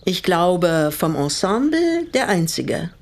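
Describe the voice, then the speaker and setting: An actress playing a guarded, quietly reproachful older woman in a radio play, speaking in a measured, pointed way. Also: on the low side, sing-song, full character voice. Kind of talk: German radio drama dialogue.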